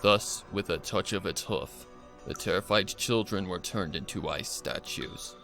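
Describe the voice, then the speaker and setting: A man's voice: low voice, a little slow, sharp tone. A man reading a scripted horror story as a dramatic narrator, with music behind him. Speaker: fan fiction narrator. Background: background music.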